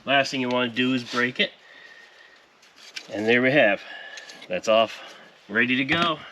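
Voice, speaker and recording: A man's voice: mid-range voice, measured pace, bright, forceful tone, slight background noise. A man presenting a casual car audio installation tutorial, explaining each step in a conversational way in a workshop. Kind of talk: casual how-to vlog narration. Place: car repair shop.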